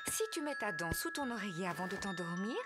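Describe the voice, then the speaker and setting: A woman voicing a gentle, good-humoured mother pig in a French-dubbed preschool cartoon, with expressive motherly delivery. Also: mid-range voice, a little fast, natural voice. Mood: soothing. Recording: music behind the voice.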